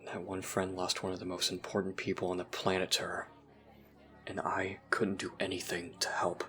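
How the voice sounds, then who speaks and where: A man playing a troubled, self-blaming teenager in an audio roleplay, speaking in a halting, confessional way. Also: deep voice, bright, forceful tone.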